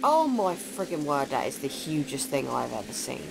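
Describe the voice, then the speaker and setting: Casting: female gaming co-commentator, notably low pitch, blunt casual chat over game soundtrack. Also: very animated, normal speed, creaky, low-quality recording. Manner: informal, blunt. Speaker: gameplay video co-commentator. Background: game soundtrack music.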